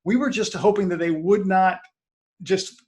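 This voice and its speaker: A man's voice: high-pitched, measured pace, darkish tone. A man speaking as a guest on a video call, giving casual, reflective sports fan commentary.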